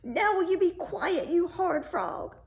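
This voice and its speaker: A woman's voice: very high voice, expressive, measured pace, voice pushed up, heavily acted. A woman voicing a young princess in a storybook, emotional, plaintive and dramatic. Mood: angry. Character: young princess, king's daughter, emotional and pleading. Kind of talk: storybook read-aloud character voice.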